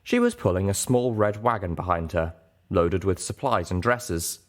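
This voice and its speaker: Low-voiced man as a weary, earnest storyteller. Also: natural voice. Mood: anguished, self-doubting. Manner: measured, deliberate storytelling. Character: young mare narrator, weary, earnest, self-doubting. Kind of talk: scripted first-person audiobook narration.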